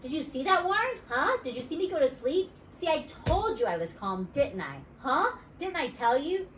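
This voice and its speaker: A woman voicing an excitable, chatty little schoolgirl storybook character, insistent and gushing, with childish grammar. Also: high voice, moderate pace, pitched above the performer's own voice. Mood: eager, pestering. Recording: roomy, distant mic, faint noise.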